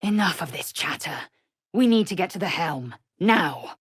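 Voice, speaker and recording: A proud, blunt alien warrior woman for a fantasy video game. Her voice is low and husky, with clipped, imperious phrasing that swings widely in pitch. She sounds haughty and scornful, as if used to being obeyed. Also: expressive, normal speed, dry recording, clean studio sound. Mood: scornful, commanding. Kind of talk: video game character voice lines.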